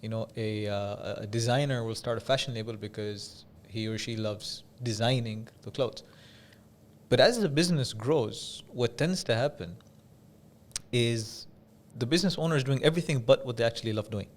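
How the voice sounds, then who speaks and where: A man from Dubai speaking as an interview guest, telling stories in a measured, conversational way with pauses and repeated phrases for emphasis. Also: medium pitch. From Dubai, UAE. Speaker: podcast guest, business coach.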